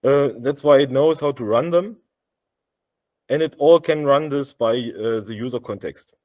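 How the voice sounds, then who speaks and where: A man with a German accent presenting a software demo over a video call, speaking haltingly with many fillers and restarts. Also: mid-range voice, dry recording. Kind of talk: live screen-share demo on a video call.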